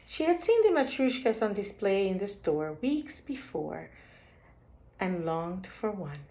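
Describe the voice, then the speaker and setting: In a roomy, echoing recording, a woman reads a picture book aloud at bedtime. Her voice sits at a medium pitch, and she tells the story expressively for young children. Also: sing-song, a little slow, darkish tone. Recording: echoey, roomy sound.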